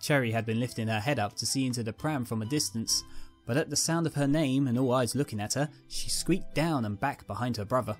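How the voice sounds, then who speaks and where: A woman's voice: a bass voice, fairly quick, a little mellow, soft background music. A woman narrating a scripted fan fiction story as its reader.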